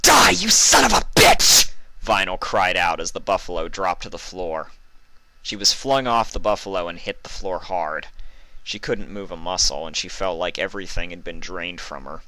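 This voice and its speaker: Male story narrator: low, bright and forceful voice, scripted read, dry close sound. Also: moderate pace, no room sound, low-quality recording. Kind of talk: scripted fan fiction narration.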